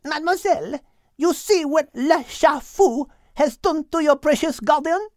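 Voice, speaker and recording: A scolding storybook hen, pitched far up yet gruff. She is indignant and bossy. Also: a little slow, darkish tone, no echo, clean studio sound.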